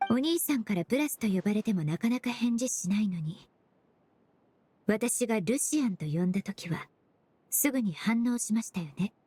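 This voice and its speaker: A woman's voice: mid-range voice, lively intonation, normal speed, mellow, slight background noise, lightly acted. An actress in a Japanese game dub playing a composed, analytical young woman investigator who speaks politely and methodically. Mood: coolly probing.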